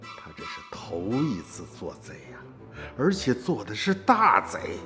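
A man's voice: medium pitch, huge pitch swings, measured pace, a bit bright. An older man with a Shaanxi accent, narrating a radio drama in a folksy way as a grandfather. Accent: Shaanxi dialect. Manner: storytelling, folksy. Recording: reverberant radio drama with music.

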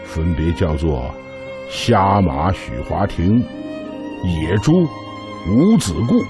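An actor playing an old man who recalls Red Army days in a radio drama, as an amused, unhurried storyteller. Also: low voice, big pitch swings, exaggerated voice. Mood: nostalgic, amused. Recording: music clearly behind, strings.

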